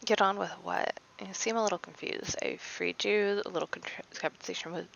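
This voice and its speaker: A woman streaming a game, chatting softly and giggling, slightly raspy from allergies. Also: on the low side, brisk, highs cut off. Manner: relaxed, giggly, reads choices aloud. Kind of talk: soft-spoken gameplay chat. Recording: faint background noise.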